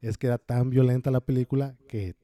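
A man talks in a very dark, mellow voice, unhurried and relaxed. He co-hosts a casual podcast, explains each point at length and fills the gaps with easy filler words. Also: medium pitch, dry recording. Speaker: podcast co-host. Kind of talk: casual podcast chat about horror films.

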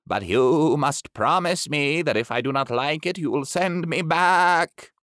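A man's voice: a little high, clear, resonant tone, no room sound, clean studio sound, pitched above the performer's own voice. A man doing a shy, polite two-headed beast. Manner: timid, slow and gentle.

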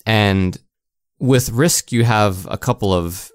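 A man's voice: lowish voice, slow, no room sound, clean studio sound. A man co-hosting a gaming podcast in casual, slangy chat, telling anecdotes with mock quotes. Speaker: podcast co-host.

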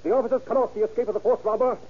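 A man's voice: sits high, fairly even intonation, rapid-fire. A man narrating a 1930s radio crime drama with urgent, dramatic delivery. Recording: muffled old radio broadcast with loud hiss.

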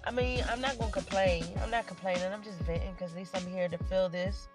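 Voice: medium pitch, fairly even intonation, fast talker. A podcast host with a stuffy nose, rambling playfully and swearing freely in a casual solo monologue from inside a parked car at night. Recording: music playing behind the voice.

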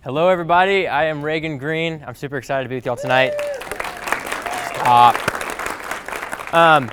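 Lively young man talking to a class. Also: a little high, normal speed, a faint crowd, a little hiss or hum. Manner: lively, joking, energetic. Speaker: speaker. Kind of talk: talk to a class. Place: meeting room with an audience.

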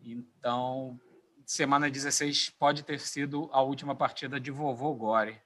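A man's voice: mid-range voice, fairly even intonation, unhurried, pushed and bright. A Brazilian man giving casual, slangy football predictions as a podcast panelist. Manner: informal, colloquial, slangy.

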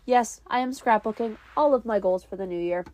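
A woman's voice: a little high, a little fast, dark tone. A young woman hosting her own podcast, rambling in a chatty, self-mocking way while stuffy with a cold. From New England, US. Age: young adult. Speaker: podcast host. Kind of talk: casual solo podcast chat.

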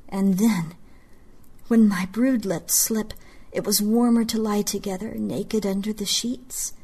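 A remorseful queen, put on by a woman narrator, pleads in a breathy, hushed whisper. Her words come slow and intimate, each phrase drawn out as if confessing. It sounds like a storybook read aloud. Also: mid-range voice, fairly even intonation. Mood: nostalgic. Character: grieving scheming queen, whispering regret.

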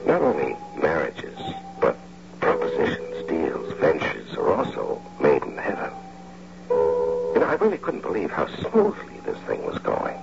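An actor playing a scheming, smooth-talking husband in an old-time radio drama, with a coaxing manner. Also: male voice, a bass voice, wildly swooping pitch, measured pace, over loud music, exaggerated voice. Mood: wry narration. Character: scheming smooth-talking husband, engineer turned house-husband bank robber. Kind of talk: old-time radio drama acting with first-person narration. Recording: dull, humming old radio recording.

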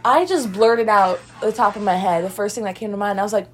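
A young woman co-hosting a relaxed girls' night chat, her voice stuffy and congested. She rambles and giggles and uses plenty of fillers. Her pitch sits mid-range, with a faint hiss in the background. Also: brisk. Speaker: podcast co-host. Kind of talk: casual chat.

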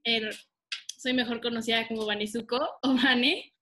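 Bright, giggly young woman. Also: on the high side, fairly even intonation, normal speed. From Mexico. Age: young adult. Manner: giggly, hesitant, with many fillers. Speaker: podcast co-host. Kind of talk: casual podcast chat. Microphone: remote call recording.

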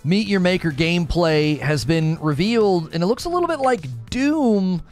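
A man's voice: high voice, lively intonation, moderate pace. Guy streaming and chatting away, tells rambling stories, faint music in the back. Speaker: streamer and show host. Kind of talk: casual livestream chat.

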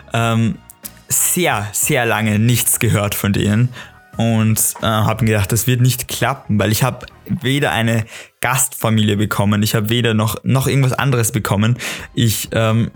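A teenage man with an Austrian accent chats casually on a solo podcast, telling stories in an emphatic, rambling, excitable way, with music behind him. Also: on the low side. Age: teens, exchange student. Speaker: podcast host. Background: clearly audible music.

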